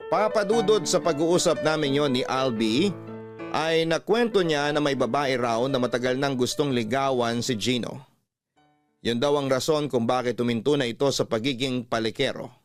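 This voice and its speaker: A man's voice: medium pitch, normal speed, faint music behind. A man with a Filipino accent reading a first-person story as the narrator of a radio drama.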